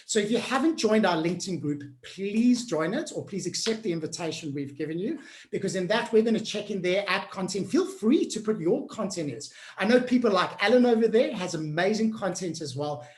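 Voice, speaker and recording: A woman's voice: mid-range voice, expressive, a little fast, darkish tone, echoey room. A woman hosting an online workshop on change management. She coaches the group directly in an upbeat, encouraging way and keeps checking in with them.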